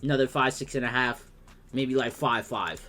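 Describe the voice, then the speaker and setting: A man's voice: medium pitch, normal speed, sharp tone. A man giving casual, blunt, profane reaction commentary over a video. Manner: blunt, profane, rambling asides. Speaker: reaction streamer.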